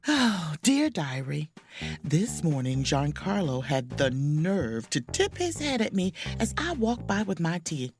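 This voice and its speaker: A proud, easily offended chief mate confiding in her diary in an audio drama. Her voice sits low for a woman and swings widely with wry, theatrical emphasis, over faint music. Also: sing-song, a little slow, exaggerated voice. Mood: wry, haughty. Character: proud, indignant, self-dramatizing spaceship chief mate on vacation.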